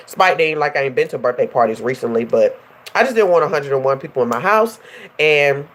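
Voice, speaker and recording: Stuffy-nosed woman chatting to viewers. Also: sits low. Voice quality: slightly hoarse. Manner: chatty, animated, talks directly to viewers. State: stuffy and nasal from a runny nose. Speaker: life coach hosting a livestream. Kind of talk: casual livestream chat.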